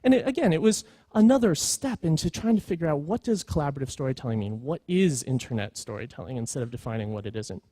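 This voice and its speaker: A man's voice: fairly high pitch, lively intonation, fast talker, few pauses, warm tone, no echo. A man presenting at a conference in an informal, earnest storytelling way, with emphatic points. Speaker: conference speaker, creative executive.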